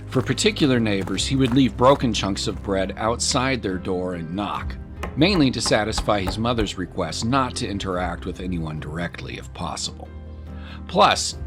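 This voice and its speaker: A man narrating a scripted dramatic story in a measured, solemn manner. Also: medium pitch, fairly bright tone.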